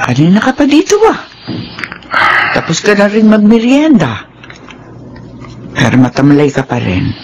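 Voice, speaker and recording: A woman's voice: mid-range voice, sing-song, measured pace, pauses often, darkish tone, lo-fi sound, a little hiss or hum. An actress playing a concerned older mother in a Filipino radio drama, speaking solicitously. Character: concerned older mother of a grown married son.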